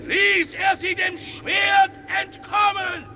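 A fanatical cobbler turned doom preacher in an old 1950s radio play. The voice is extremely high and gravelly, raised to a shout. Each proclamation is dragged out very slowly and declaimed with zeal. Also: male voice, fairly even intonation, full character voice. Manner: declamatory, drawn-out zealous proclaiming. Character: fanatical cobbler preaching divine fiery punishment. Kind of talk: 1950s German radio drama acting. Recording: noisy 1950s radio recording with crowd.

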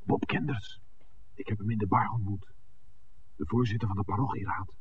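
An actor playing a shrewd, dryly teasing film scout in a 1960s radio play, speaking in an articulate, deliberate way. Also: male voice, lowish voice, steady tone, close to an everyday voice. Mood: wary, dry. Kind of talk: 1960s radio drama acting. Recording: muffled, noisy old radio broadcast recording.

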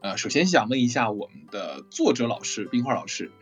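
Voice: mid-range voice, big pitch swings, fairly quick, faint music behind. A host of a cast livestream chatting casually and teasing in a playful way. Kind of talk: casual hosting chat with teasing.

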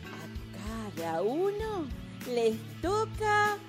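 A woman's voice: high-pitched, wildly swooping pitch, extremely slow, over music, full character voice. A woman playing a sly, gleeful older Argentine mother in a radio drama, with a theatrical, mock-sweet manner. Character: sly, gleeful older mother reading her mocking farewell letter.

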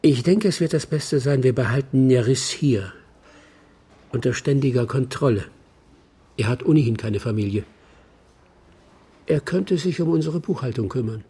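An actor playing an assured doctor in a radio crime drama, professional and animated. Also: male voice, mid-range voice, normal speed, pauses often, husky. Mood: clinical. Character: assured, jovial male doctor, brisk and clinical.

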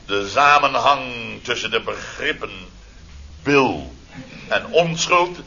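Sharp, blustering pompous boss. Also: male voice, medium pitch, lively intonation, creaky, husky, full character voice. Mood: pompous reproach. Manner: pompous, theatrical, indignant, drawn-out emphasis. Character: blustering, pompous male boss of a building firm. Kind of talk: radio comedy acting. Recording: dull old radio recording, faint noise.

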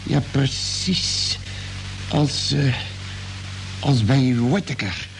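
A man playing a calm, caring spaceship doctor with a measured, deliberate way of explaining, in a vintage Dutch radio drama. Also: medium pitch, vocal fry, full character voice. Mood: thoughtful, explaining. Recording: noisy old radio drama recording.

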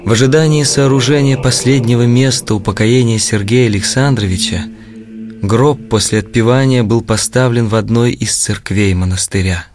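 A man narrating a religious historical audio play from a script in a solemn, measured way. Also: mid-range voice. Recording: clear music bed under narration.

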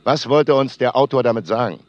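A man's voice: mid-range voice, brisk, dry recording, close to an everyday voice. An actor playing an obsessive, embittered radio-play maker who speaks emphatically and grandiosely into his audio diary. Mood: bitter, determined. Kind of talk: acted spoken tape diary in radio feature. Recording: dull lo-fi tape diary.

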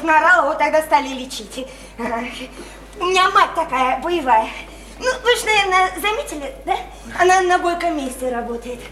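A woman's voice: high voice, a bit bright. An actress in a radio drama playing a naive, sickly, chatty small-town woman who rambles on in simple, insistent folksy speech. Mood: confiding. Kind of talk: stage-style radio drama acting. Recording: roomy echo, faint noise.

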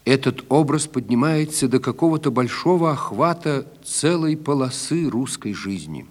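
Casting: male narrator, medium pitch, animated delivery, scripted literary documentary. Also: normal speed.

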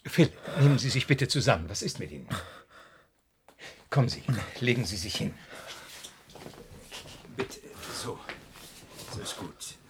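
An actor playing a smooth-talking, coldly sinister male doctor in a radio drama, measured and placating in manner. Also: mid-range voice, expressive, slight background noise. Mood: soothing.

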